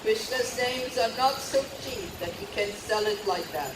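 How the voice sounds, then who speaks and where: Woman devotional teacher, earnest and emphatic, mid-pitched, distant mic in an echoey hall with steady noise. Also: normal speed. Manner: earnest, emphatic, repeats key points. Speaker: religious teacher. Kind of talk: devotional lecture to a retreat audience. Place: retreat hall.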